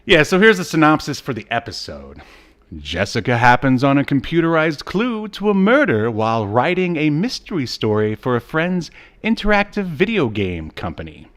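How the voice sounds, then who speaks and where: Male co-host, casual and animated, reads notes conversationally and drops dry jokes. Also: on the high side, moderate pace. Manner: joking, wry asides. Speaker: podcast co-host. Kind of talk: casual chat, reading episode notes.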